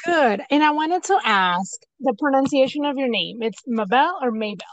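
A woman's voice: high-pitched, lively intonation, normal speed, no echo, low-quality recording. A woman with an American accent hosting a podcast, speaking in an informal, conversational way. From United States. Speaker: podcast host. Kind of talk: casual interview chat.

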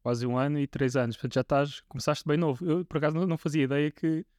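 A man's voice: a little high, steady tone, fast, a little mellow, dry recording, studio quality. A man in his twenties with a European Portuguese accent, hosting a podcast interview in a casual, hesitant way with frequent restarts. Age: 20s. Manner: hesitant, many restarts and fillers. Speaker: podcast host.

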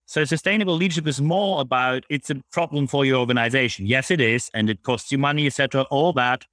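A man's voice: mid-range voice, fast, fairly bright tone, no room sound. Blunt German-accented man, close dry sound. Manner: blunt, emphatic. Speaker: guest, public speaker on leadership. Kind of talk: interview answers, explanatory.

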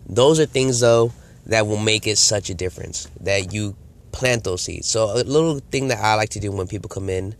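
Deep-voiced man with a cold. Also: normal speed. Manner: conversational, acts out customer and salesperson lines. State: congested from a cold. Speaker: sales coach. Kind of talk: casual instructional talk to camera.

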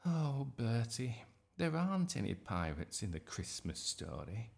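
A man's voice: mid-range voice, very animated, a little slow, gravelly, darkish tone, voice pushed up. A man voicing a weary, long-suffering mother in a children's audiobook, reasoning with exasperation. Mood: exasperated.

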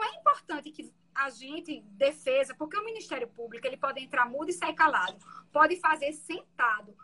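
A woman defense lawyer with a soft voice, explaining her courtroom methods in an interview. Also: very high voice, poor audio.

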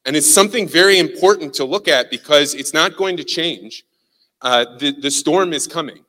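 A Midwestern American man from Missouri, speaking as a guest to a hall full of people. His voice is sharp and bright, a little high for a man, and he tells his stories in an animated, self-deprecating way. A long reverb trails after him through the large room. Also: moderate pace. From St. Louis, Missouri. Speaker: guest speaker, communication consultant. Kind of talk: storytelling keynote talk. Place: large hall with a live audience.

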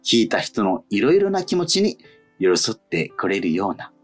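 Male radio host, deep husky voice, animated intonation, letter reading and chat. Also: normal speed, soft background music. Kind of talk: reading listener mail and chatting on a radio show.

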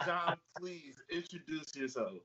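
A man co-hosting a podcast, talking in a loose, hyped, profane way. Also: a little high. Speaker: podcast co-host. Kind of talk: casual chat. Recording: dull lo-fi sound, faint hiss.

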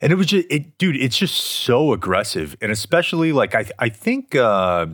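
A man's voice: medium pitch, very animated, moderate pace, fairly bright tone, dry recording, clean studio sound. A man co-hosting a podcast, talking loosely with swearing and trailing restarts. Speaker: podcast co-host. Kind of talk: casual chat.

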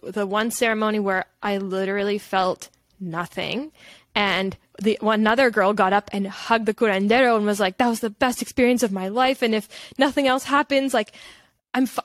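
A woman's voice: a little high, no echo, studio quality. A woman from Canada, talking candidly and reflectively in a casual interview, rambling with lots of filler "like". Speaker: podcast guest, holistic nutritionist.

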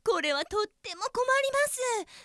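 A woman's voice: very high voice, sing-song, moderate pace, pushed and bright, no room sound, studio quality, exaggerated voice. A woman playing an anxious, earnest office worker in a comedic radio drama, with an exaggerated, plaintive delivery.

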